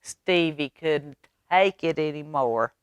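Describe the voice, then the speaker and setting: A woman reading a picture book aloud to children in a dramatic, expressive way, drawing out words. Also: low voice, smooth, no room sound. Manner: dramatic, expressive, with drawn-out words and hushed asides. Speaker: library storyteller reading to children. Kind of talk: animated picture-book read-aloud with sound effects.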